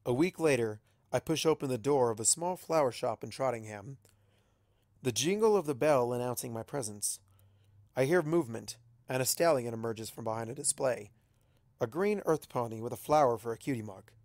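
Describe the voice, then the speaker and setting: A man reading a story aloud as an expressive amateur narrator. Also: mid-range voice, normal speed, dry recording, clean studio sound. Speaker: amateur audiobook reader.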